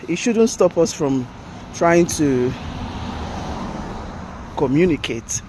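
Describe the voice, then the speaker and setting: A man's voice: fairly high pitch, lively intonation, takes it slowly, a little mellow. A man with a Nigerian accent, an English teacher chatting casually while walking and laughing now and then. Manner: chatty, laughing, drops in Japanese fillers. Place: outdoors by a road. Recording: outdoor traffic noise.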